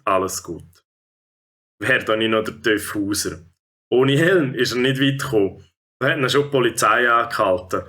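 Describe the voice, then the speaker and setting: A man's voice: fairly low pitch, sharp tone. A man with a Swiss accent narrating a children's story from a script.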